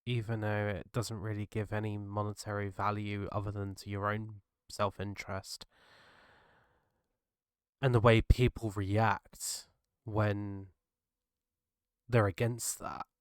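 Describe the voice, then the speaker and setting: A British man hosting his own podcast, talking earnestly and haltingly, his voice gravelly from a cold. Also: lowish voice, little pitch movement, dry recording, clean studio sound. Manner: earnest, halting, restarts mid-thought. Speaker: podcast host. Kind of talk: solo reflective podcast monologue.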